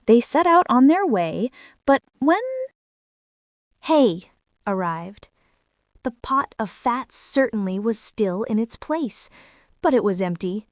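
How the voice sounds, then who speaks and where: A woman narrates a children's fairy tale in a fairly high voice. Her pitch moves expressively through each sentence, and the recording is close and dry. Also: animated, slow pace, pauses often, no room sound. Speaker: storyteller for children.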